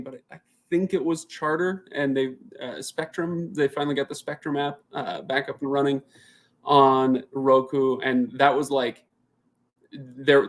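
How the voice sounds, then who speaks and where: Chatty man thinking out loud. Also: mid-range voice. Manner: chatty, thinks out loud while reading viewer comments; rambling, restarts sentences often. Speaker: channel host. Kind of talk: casual live Q&A.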